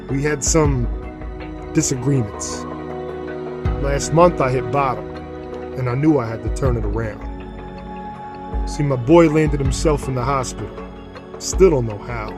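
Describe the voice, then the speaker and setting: A man playing a remorseful middle-aged father, a former gang leader and recovering alcoholic, with rough, plain streetwise speech in an audio drama. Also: mid-range voice, close to an everyday voice. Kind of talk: audio drama acting, confessional monologue. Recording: dramatic music under the voice. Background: music.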